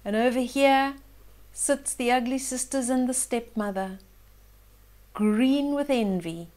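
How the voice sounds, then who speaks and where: Older lady doing storytime for kids, really slow, highish voice, expressive, explains stuff simply. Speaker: children's storyteller, host. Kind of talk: storybook read-aloud with asides to young listeners.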